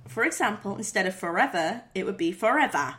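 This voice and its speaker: A woman's voice: medium pitch, expressive, brisk, fairly bright tone. A woman co-hosting in casual comic banter, reading instructions aloud in a giggly, playful manner.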